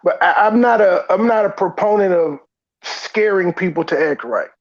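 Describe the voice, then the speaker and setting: Higher-voiced man, slightly muffled audio. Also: moderate pace. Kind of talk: casual debate.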